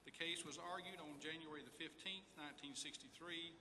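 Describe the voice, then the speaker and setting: A man's voice: fairly high pitch, fairly quick. A man reading scripted narration aloud as narrator at a public event in a large hall. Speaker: narrator at a readers theater event. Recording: echoey hall, clear background noise.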